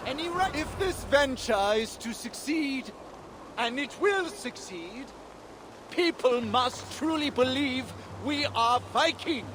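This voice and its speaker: An actor playing a greedy, domineering leader of false Vikings in a video game, declaiming like a man rallying his troops. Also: very high-pitched. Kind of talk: video game cutscene acting. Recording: noisy outdoor game ambience.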